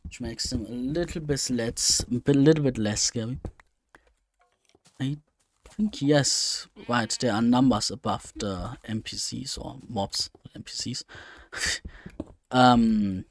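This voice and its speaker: Slow, gravelly young man. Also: medium pitch. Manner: rambling, thinking aloud with many fillers. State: slightly strained voice. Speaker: let's play gamer. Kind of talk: casual gameplay commentary.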